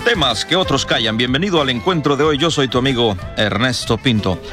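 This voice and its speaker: A man's voice: medium pitch, animated, fairly quick, loud music behind the voice. Earnest man hosting a program intro. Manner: earnest direct address to listener. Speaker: program host.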